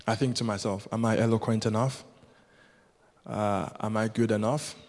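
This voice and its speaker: A man's voice: fairly low pitch, steady tone, normal speed, a little mellow. A man whose first language is Yoruba, preaching a sermon as a guest preacher in an earnest, rhythmic way that builds with repetition. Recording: long hall reverb.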